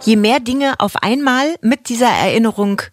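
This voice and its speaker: A woman's voice: on the high side, normal speed, no echo, clean studio sound, faint music behind. A woman, co-hosting a children's radio talk show in a chatty, explanatory, conversational way. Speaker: radio talk show co-host and band member.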